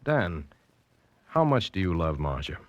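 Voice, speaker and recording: An actor playing a genial, calculating rich uncle in a 1940s radio drama, speaking with polite, measured urbanity. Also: male voice, low voice, big pitch swings, dry recording. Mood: suave, probing.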